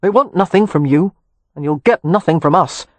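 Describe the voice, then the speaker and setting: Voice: medium pitch, normal speed, no echo, lightly acted. A reader voicing a brusque, sardonic young man who talks in a blunt, curt way. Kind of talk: audiobook character reading.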